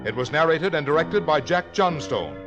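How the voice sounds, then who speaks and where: An American man announcing on a 1950s radio broadcast, reading a script in a formal, measured way with deliberate enunciation. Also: on the high side, animated, over music.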